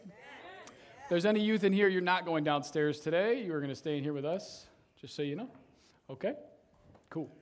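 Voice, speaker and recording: A young man with a somewhat high voice leads a church service as its youth pastor, preaching in a relaxed, conversational way. His voice is a little raspy from shouting earlier, and he keeps checking in with the congregation by tagging his points with "right?". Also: moderate pace, pauses often. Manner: conversational, tags sentences with "right?". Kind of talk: casual sermon.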